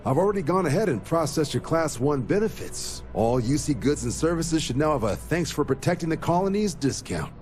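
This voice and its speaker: Hearty commander, very lively pitch, informal but in charge, game music faintly behind. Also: male voice, a little fast, hardly any pauses. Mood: congratulatory. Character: affable male military commander, approving and businesslike. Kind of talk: video game dialogue.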